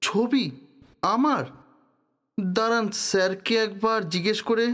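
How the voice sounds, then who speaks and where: An actor playing a timid, deferential office assistant, humble in manner, in an audio drama. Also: male voice, high voice, a little slow, fairly bright tone. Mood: startled, nervous. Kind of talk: Bengali audio drama acting.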